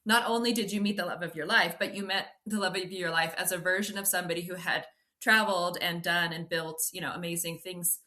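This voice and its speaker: A woman's voice: on the low side, fairly even intonation, a little fast, hardly any pauses, sharp tone. A woman in her forties hosting a podcast interview, talking in an enthusiastic, effusive way. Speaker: podcast host.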